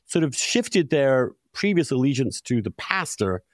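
A man's voice: medium pitch, very animated, unhurried, no echo, studio quality. A middle-aged man hosting a podcast discussion, speaking in an emphatic, opinionated, conversational way. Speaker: podcast host.

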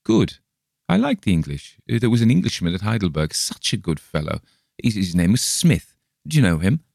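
A man's voice: fairly low pitch, sing-song, brisk, gravelly, darkish tone, no echo, clean studio sound, pitched above the performer's own voice, full character voice. A man voicing a friendly, boyish young German brewer who talks in an earnest, chatty way, lifting his voice above his own. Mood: cheerful.

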